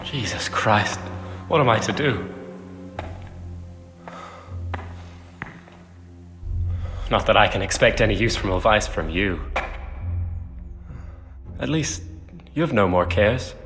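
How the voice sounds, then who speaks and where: An actor playing a frightened, rueful poacher in hiding in a video game, nervous and halting. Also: male voice, lowish voice, expressive. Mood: despairing. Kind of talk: video game cutscene acting. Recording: echoing cave reverb, faint music.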